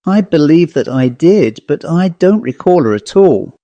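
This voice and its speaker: A man's voice: a little high, lively intonation, unhurried, warm tone, no echo, muffled recording, voice pushed up. A man voicing a keen, genteel older woman antiques dealer in a storybook reading, chatty and politely determined. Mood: curious.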